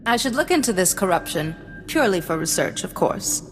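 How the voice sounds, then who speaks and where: A woman's voice: medium pitch, big pitch swings, brisk, close to an everyday voice. A woman playing a cool, assertive young Jedi in a video game, with a dry, sardonic manner. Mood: cool, curious. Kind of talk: cinematic video game dialogue. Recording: hall reverb, faint music behind.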